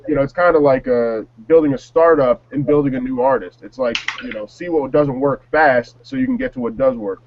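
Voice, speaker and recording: A man in a casual panel discussion, talking in a measured, reflective way. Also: medium pitch, dull audio. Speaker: panelist on a music talk show.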